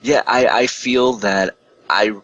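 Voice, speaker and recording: A man's voice: mid-range voice, fairly even intonation, slow, a bit bright, no room sound, lo-fi sound. An American man chatting casually on a group podcast in an earnest, hedging way. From Chicago, United States. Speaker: online cartoon reviewer and podcast guest.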